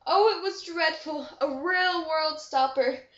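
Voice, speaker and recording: A woman's voice: high voice, slow pace, a bit bright. A woman reading a rhyming children's story aloud with expression. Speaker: storybook reader. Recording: dull echoey amateur room recording.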